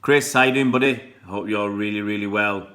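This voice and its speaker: A man's voice: lowish voice, normal speed, a bit bright. A middle-aged man chatting casually on a live stream, greeting viewers by name and rambling between comments. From born in Pakistan, raised in England. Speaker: fan channel live streamer.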